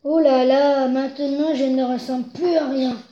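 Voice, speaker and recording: A woman's voice: sits high, fairly even intonation, moderate pace, dark tone, lightly acted. A woman voicing a big grumpy male wolf who is unhappy with his color, reading a storybook aloud. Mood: dismayed. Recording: roomy, slightly dull recording.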